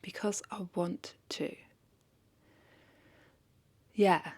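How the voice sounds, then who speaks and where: A woman's voice: fairly low pitch, extremely slow, darkish tone, slightly rough. A woman talking casually and confessionally to camera as a vlogger, hesitant and reflective, questioning herself and repeating phrases.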